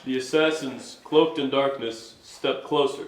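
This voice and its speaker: A man's voice: medium pitch, measured pace. A man reading stage directions as scripted narration in a staged reading. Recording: echoey room, faint noise.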